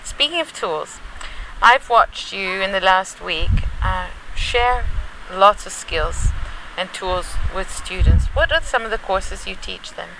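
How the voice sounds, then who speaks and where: A woman's voice: medium pitch, animated, takes it slowly, gravelly, sharp tone. Woman interviewer on a noisy field recording. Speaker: podcast host interviewer. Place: on location at a training institute.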